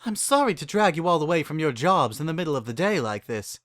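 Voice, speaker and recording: A narrator voicing a refined, earnest female pony with a genteel, measured and composed manner. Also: medium pitch, sing-song, a bit bright, no room sound, pitched above the performer's own voice. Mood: worried, apologetic.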